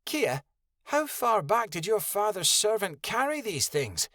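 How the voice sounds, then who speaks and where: Casting: man as excitable, curious male wolf for fantasy audiobook, high-pitched, animated, wondering. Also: unhurried, no echo, clean studio sound, exaggerated voice. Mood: puzzled. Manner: eager, wondering. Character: excitable, inquisitive male wolf companion with paws.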